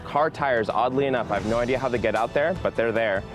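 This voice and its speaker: A man in his thirties answering interview questions on a sunny beach in a relaxed, matter-of-fact way with a few ums. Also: mid-range voice, quick pace, music in the background. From United States. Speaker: interviewee, scuba diver.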